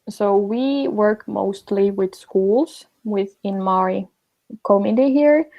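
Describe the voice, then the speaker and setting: A woman's voice: mid-range voice, a little mellow. A young woman, chatting casually as an interview guest, with many fillers. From Finland. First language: Finnish.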